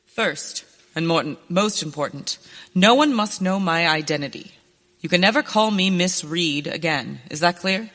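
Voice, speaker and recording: A woman acts out a resolute young ranch woman bound for justice in a western radio drama. Her voice is bright and forceful, theatrical and earnest. It rings through a long hall reverb. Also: on the low side, expressive, measured pace. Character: determined young rancher woman becoming a masked western avenger. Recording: reverberant hall, stage performance.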